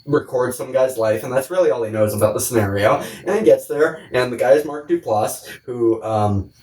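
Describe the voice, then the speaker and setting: A man with a mid-pitched voice sits back from the microphone in an echoey room. He co-hosts a podcast in a loose, rambling way. His talk is casual, comedic and peppered with profanity. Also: moderate pace, a little mellow, slight background noise. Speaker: podcast co-host. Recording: roomy, distant microphone.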